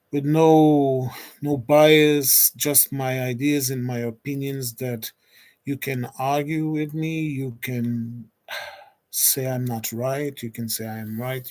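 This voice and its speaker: Portuguese-accented man in his forties; slow, hesitant livestreamer who restarts phrases. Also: mid-range voice, a little mellow. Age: 40s. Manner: hesitant, pauses often with restarts and fillers. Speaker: streamer. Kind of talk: unscripted solo livestream chat.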